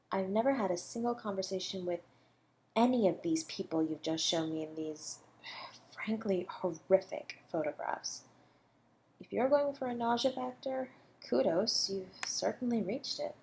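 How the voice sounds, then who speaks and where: A woman playing a suave, flirty academic suspected of murder in an audio roleplay, teasing and condescending, drawing out her words with breathy asides. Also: mid-range voice, darkish tone, a little hiss or hum, natural voice. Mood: feigned innocence. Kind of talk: scripted audio roleplay monologue.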